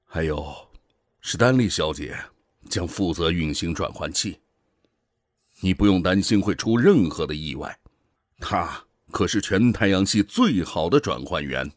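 An actor playing a grave, caring older space station director in a science fiction radio drama, speaking earnestly and with caution. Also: male voice, low voice, expressive, measured pace, no echo, clean studio sound. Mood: grave concern.

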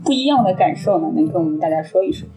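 A woman's voice: fairly low pitch, lively intonation, very fast, poor audio. A young woman from Shanghai co-hosting a podcast, chatting informally and asking questions in an inquisitive way. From Shanghai, China. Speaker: podcast co-host and interviewer. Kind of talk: casual chat. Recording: music clearly playing behind the voice.

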